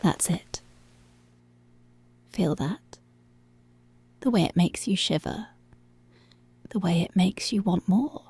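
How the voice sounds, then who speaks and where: Seductive, controlling policewoman played by a woman for ASMR roleplay: mellow, creaky, half-whispered, teasing, close-miked. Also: fairly low pitch, slow, long pauses, no echo, full character voice. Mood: intimate, commanding. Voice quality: breathy, often slipping into whisper. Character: dominant, commanding, seductive policewoman. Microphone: close microphone.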